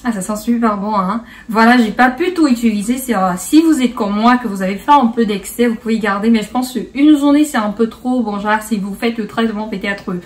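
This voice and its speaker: A woman's voice: fairly high pitch, brisk, hardly any pauses, distant mic. A woman hosting a casual beauty tutorial in a playful, chatty way, slipping bits of English into her French. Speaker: beauty vlogger, host.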